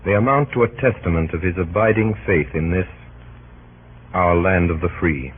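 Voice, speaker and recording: A man narrates with formal, oratorical gravity in the style of 1940s radio. His voice is deep and husky. The sound is narrow and thin, like an old broadcast. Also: normal speed. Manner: solemn, oratorical. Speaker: narrator, presenter. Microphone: vintage broadcast microphone. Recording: muffled, band-limited old radio recording.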